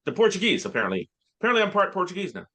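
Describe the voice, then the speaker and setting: A man co-hosting a comedy podcast, telling rambling stories in halting bursts full of filler sounds. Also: high-pitched, huge pitch swings, bright, forceful tone, breathy edge. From Canada. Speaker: podcast co-host. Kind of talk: casual comedic chat.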